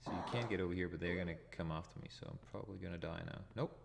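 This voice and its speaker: A man's voice: deep voice, fairly even intonation, very fast, gravelly, noisy background. A Danish man with a Danish accent, hosting a retro gaming channel, giving live commentary as he plays and thinks aloud. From Denmark. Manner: thinks aloud, chuckles at his own deaths.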